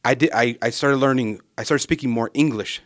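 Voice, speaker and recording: A man from Southern California, a guest in a casual conversation, telling a personal story in a reflective, unhurried way and often searching for his words. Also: mid-range voice, no room sound, studio quality. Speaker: guest, ministry association director.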